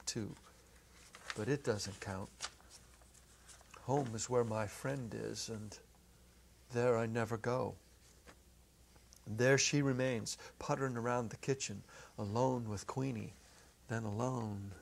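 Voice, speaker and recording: Male narrator, middle-aged, gravelly edge, expressive literary storytelling. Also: mid-range voice, slow, pauses often, a little hiss or hum. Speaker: narrator, dramatic reader. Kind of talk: dramatic story reading. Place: small indoor set. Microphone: lapel.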